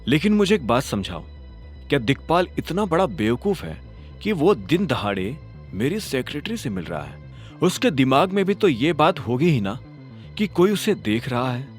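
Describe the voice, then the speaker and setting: A man playing a determined, suspicious young hero in an audio drama, speaking in a brooding, deliberate way. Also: medium pitch, big pitch swings, soft background music, close to an everyday voice. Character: determined, suspicious young man hunting his father's killer.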